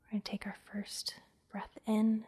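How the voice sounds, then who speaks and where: A woman softly whispering an ASMR guided meditation, giving gentle, soothing instructions with pauses. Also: medium pitch, monotone. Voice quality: soft, breathy whisper. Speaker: massage therapist and meditation guide, host.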